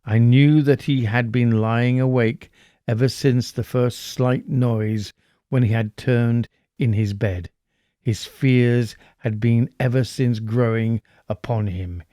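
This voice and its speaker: Man performing nervous mad murderer, horror audiobook, mellow dark tone, slow deliberate delivery, theatrical pauses, obsessive. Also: medium pitch, steady tone, dry recording, studio quality, lightly acted. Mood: brooding dread. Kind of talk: dramatic horror audiobook narration.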